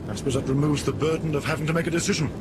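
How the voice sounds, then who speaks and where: Actor as a terse airline captain over engine roar. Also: male voice, rapid-fire, natural voice. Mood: grim, decisive. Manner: terse, authoritative. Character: commanding airliner captain facing a ditching, curt and decisive. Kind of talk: 1970s radio drama acting. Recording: heavy aircraft engine rumble behind.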